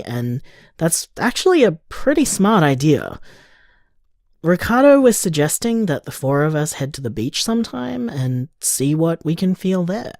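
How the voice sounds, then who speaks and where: A man's voice: a little high, a little mellow, no room sound, clean studio sound. An actor playing an affectionate, easygoing, thoughtful man, musing casually in a naturalistic audio drama voicemail.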